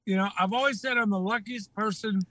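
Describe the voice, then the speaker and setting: A man's voice: high-pitched, fairly quick, sharp tone, dry recording. An older man with a Texan accent, a former TV news anchor telling anecdotes and laughing as a podcast guest. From Texas. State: slightly changed voice from breathing trouble. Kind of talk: casual interview.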